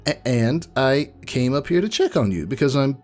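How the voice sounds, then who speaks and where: A man's voice: mid-range voice, expressive, moderate pace, darkish tone, faint music behind, close to an everyday voice. A man voicing a nervous young stallion, an earnest worried friend who stammers and pleads. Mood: hesitant. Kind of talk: fan audio drama acting.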